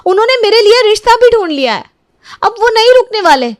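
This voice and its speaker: A woman's voice: very high-pitched, big pitch swings, fairly quick, dry recording, studio quality, pitched above the performer's own voice. A woman voicing a pleading, lovelorn young woman in her twenties in a cartoon family story. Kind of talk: cartoon moral-story dialogue.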